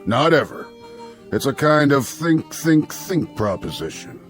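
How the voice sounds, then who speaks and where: An actor playing a folksy, self-important Old West sheriff with an American Western drawl in an audio drama. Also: male voice, mid-range voice, very animated, measured pace, faint music behind. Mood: self-satisfied. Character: folksy, slow-witted Old West sheriff, proud of his reasoning.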